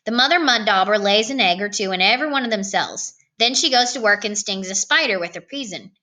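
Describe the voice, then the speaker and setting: Overgrown country schoolboy for a storybook, voiced by a woman: rustic, unflappable, deadpan, clear forward tone. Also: mid-range voice, brisk. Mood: folksy explaining. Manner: folksy, deadpan, rustic grammar.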